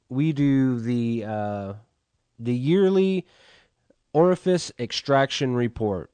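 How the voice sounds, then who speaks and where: A man's voice: medium pitch, a little mellow, clear, resonant tone, no echo. A man hosting a radio show, chatting loosely and profanely between songs while stuffed up from a cold. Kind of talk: casual rambling on-air chat.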